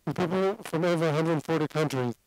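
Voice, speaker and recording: A man from Singapore with a blended international accent speaks in a fairly high voice. He lectures to an audience from a seat, talking conversationally and qualifying his points. The sound is dry and close. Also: a little fast, creaky, darkish tone, no echo, low-quality recording. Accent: mixed international accent. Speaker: addiction expert speaker.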